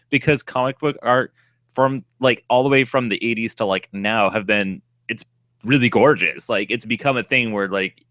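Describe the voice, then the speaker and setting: A man's voice: on the low side, sharp tone, no room sound, clean studio sound. A man with a slight Boston accent co-hosting a casual chat show, rambling and laughing as he reads listener mail. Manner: rambling, laughing, trailing off mid-sentence. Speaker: podcast co-host.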